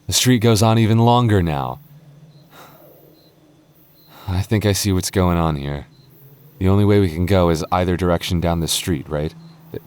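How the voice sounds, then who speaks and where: Man acting a very deep-voiced nervous goth boyfriend. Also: darkish tone. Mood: uneasy. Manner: stammering, halting, nervous, wry. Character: anxious, protective young goth boyfriend, nervously joking. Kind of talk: boyfriend ASMR audio roleplay.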